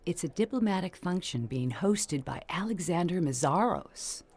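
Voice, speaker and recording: An actress playing a brassy, coaxing middle-aged ex-performer with slangy talk in a noir radio drama. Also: female voice, fairly low pitch, lively intonation, normal speed, a little mellow, slightly rough. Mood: persuasive. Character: Julie, worldly older female ex-performer, brassy, motherly pal. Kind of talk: 1950s-style noir radio drama acting.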